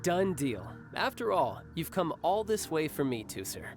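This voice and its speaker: An actor playing a confident, playful young warrior and doting older brother in a video game, with a theatrical, teasing manner. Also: male voice, on the high side, very animated, moderate pace. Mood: playful, indulgent. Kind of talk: video game character acting. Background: game music.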